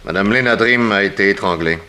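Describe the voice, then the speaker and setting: An actor playing a composed, methodical detective in a 1960s French radio drama, brisk, polite and authoritative. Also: male voice, lowish voice, sharp tone. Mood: probing. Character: composed, methodical male detective questioning witnesses. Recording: noisy vintage radio recording.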